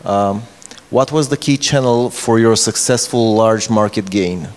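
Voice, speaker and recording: Male moderator, thirties, slightly low pitch, casual interviewing style, echoey conference hall. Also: measured pace, slight background noise. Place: indoor conference stage. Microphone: handheld microphone. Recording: reverberant hall sound.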